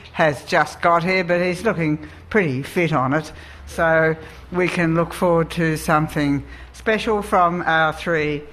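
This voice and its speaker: An Australian woman chairing a live writers' festival session, introducing the speakers in a measured, deliberate way. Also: low-pitched, a bit bright.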